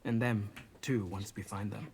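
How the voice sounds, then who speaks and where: An actor playing a somber, protective young outcast in a fantasy video game, speaking earnestly and in a measured way. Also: male voice, fairly low pitch, fairly even intonation, a little mellow, slight background noise, lightly acted. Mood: grave, troubled.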